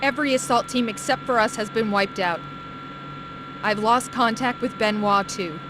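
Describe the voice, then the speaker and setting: A woman playing a brisk, exasperated squad officer in a video game, urgent and commanding. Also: on the high side, background noise, lightly acted. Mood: businesslike. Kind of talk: video game cutscene acting.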